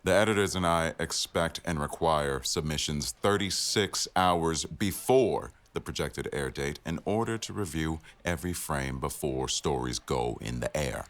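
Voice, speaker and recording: Man acting very deep-voiced, sardonic boss. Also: a little slow, few pauses, fairly bright tone, exaggerated voice. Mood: stern, businesslike. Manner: dry, wry, authoritative. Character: exacting, sardonic male executive news producer, the boss. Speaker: voice actor in a boyfriend audio roleplay. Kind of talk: scripted ASMR roleplay, addressing staff at a meeting.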